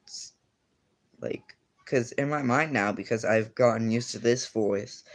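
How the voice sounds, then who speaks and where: A man's voice: medium pitch, a little slow. Rambling young male streamer. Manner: rambling, filler-heavy, self-correcting. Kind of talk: casual livestream chat reading comments.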